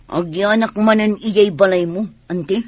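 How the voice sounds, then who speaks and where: A woman's voice: mid-range voice, steady tone, normal speed, a little mellow, no room sound, lightly acted. A woman playing a polite but wary young niece with an Ilocano accent in a radio drama, hesitant and deferential.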